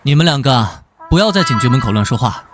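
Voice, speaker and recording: A male actor voicing an earnest, good-natured, easily flustered police detective in an animated drama. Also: medium pitch, fast, background noise, natural voice. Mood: calm, mild. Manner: conciliatory, earnest.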